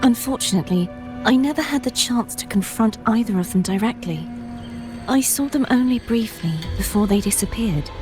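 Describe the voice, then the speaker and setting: Woman voicing a solemn, lower-voiced warrior ally over music. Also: close to an everyday voice. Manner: formal, measured, grave. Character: solemn, courteous mystical female warrior ally. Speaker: video game character. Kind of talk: scripted game dialogue. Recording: studio voice under prominent game music.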